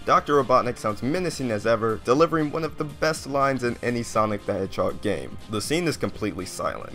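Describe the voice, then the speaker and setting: A man's voice: lowish voice, a bit bright. Enthusiastic man reviewing game scenes. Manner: conversational, enthusiastic. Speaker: YouTube reviewer. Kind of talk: video game top ten commentary.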